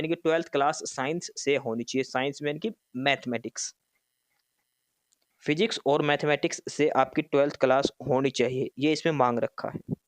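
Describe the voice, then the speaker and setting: A man's voice: on the high side, no echo. A man presenting an informal explanatory walkthrough for students, instructive and repeating key points. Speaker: presenter explaining a job notification.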